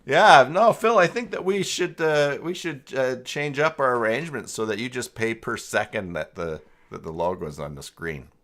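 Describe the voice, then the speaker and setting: A man hosting a casual live software tutorial, talking informally and haltingly as he thinks aloud while chatting with viewers. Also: mid-range voice, animated. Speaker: livestream host demonstrating website tools.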